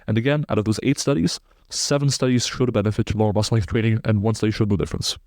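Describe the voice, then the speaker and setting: A man's voice: on the low side, steady tone, racing, darkish tone, no room sound. A man in his twenties, raised in Belgium, explaining sports science research in a precise, technical way as a podcast guest. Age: 20s.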